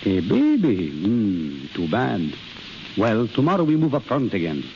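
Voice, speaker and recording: An actor playing a brash, affectionate foreign army comrade with quaint phrasing and endearments, in 1930s radio drama style. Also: male voice, lowish voice, wildly swooping pitch, a little slow, heavily acted. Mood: scolding, fond. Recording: muffled, hissy 1930s radio recording.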